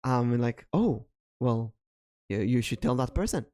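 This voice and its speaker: A man's voice: medium pitch, lively intonation, unhurried, dark tone. A man from Israel with a Hebrew accent, hosting a casual podcast and telling stories with frequent hesitations. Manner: storytelling, hesitant with many ums. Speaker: podcast host.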